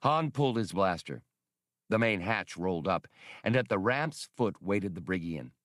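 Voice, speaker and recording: Animated low-voiced male audiobook narrator. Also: moderate pace, dry recording, clean studio sound. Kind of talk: dramatic scripted fiction narration.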